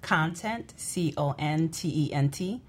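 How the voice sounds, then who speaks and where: A woman's voice: low voice, animated, measured pace. A woman guest on a business talk show, speaking casually in a concise, matter-of-fact way. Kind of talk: casual roundtable chat.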